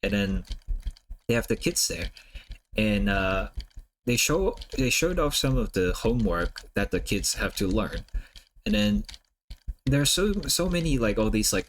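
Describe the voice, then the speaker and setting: Easygoing man, lowish voice. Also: a little hiss or hum. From Hong Kong. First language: Cantonese. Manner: rambling, lots of "like" and "uh" fillers. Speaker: streamer. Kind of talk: casual chat while drawing on stream.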